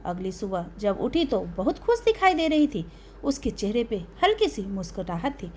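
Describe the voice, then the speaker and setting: A woman narrating a dramatic first-person audio story in an emotional, expressive way. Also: a little high, normal speed, slight background noise.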